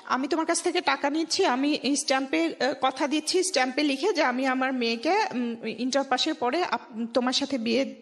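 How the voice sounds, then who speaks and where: High-voiced Bangladeshi woman in long reverb. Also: brisk. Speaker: radio show guest. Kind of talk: conversational personal storytelling.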